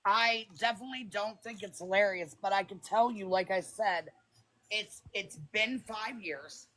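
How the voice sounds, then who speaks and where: A woman with a raspy smoker's voice, talking defensively and bluntly on a phone livestream. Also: medium pitch, a little slow, fairly bright tone, low-quality recording. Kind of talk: heated live chat.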